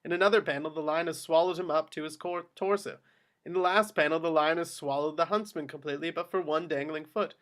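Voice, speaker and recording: Higher-voiced man reading screenplay directions. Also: fairly even intonation, quick pace, fairly bright tone. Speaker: stage-direction narrator. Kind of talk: scripted narration at a table read.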